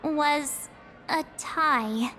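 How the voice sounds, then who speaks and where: A woman playing an expressive, loyal and curious teenage girl adventurer in a video game. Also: high voice, extremely slow, exaggerated voice. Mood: earnest. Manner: exclamatory and expressive. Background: game music.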